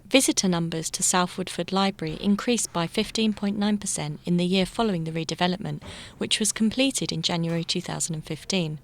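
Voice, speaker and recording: Female news voice-over, low register, measured read, faint hiss behind. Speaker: news reporter. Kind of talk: scripted news narration.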